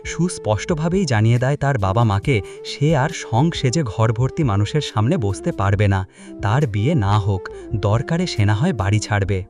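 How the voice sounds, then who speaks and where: Male narrator, scripted dramatic storytelling, animated intonation, mid-pitched, music behind. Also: moderate pace.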